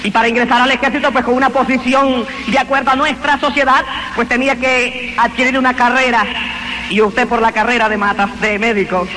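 Fervent high-voiced man testifying. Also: flat delivery, fairly quick, noisy background. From Honduras. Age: 20s. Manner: fervent, emphatic storytelling. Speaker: speaker giving a religious testimony. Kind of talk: evangelical testimony.

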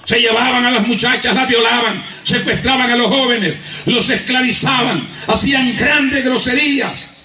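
A man's voice: very high-pitched, steady tone, normal speed, hardly any pauses, pushed and bright. A man with a Honduran accent preaching a fervent evangelical sermon, emphatic and often laughing as he calls out for praise and applause. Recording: echoey church hall miked from a distance.

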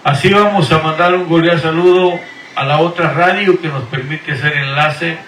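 A middle-aged man, a pastor, preaching and sending drawn-out, earnest blessings and greetings over the radio. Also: a little high, a bit bright, a little hiss or hum. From Guatemala. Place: Houston, Texas. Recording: echoey room, voice from far off the mic.

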